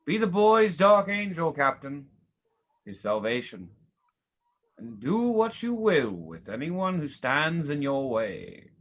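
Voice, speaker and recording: A man playing a stern, vengeful military general with a grave, deliberate, theatrical delivery in a dramatic play reading. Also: fairly high pitch, sing-song, a bit bright, exaggerated voice. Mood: commanding.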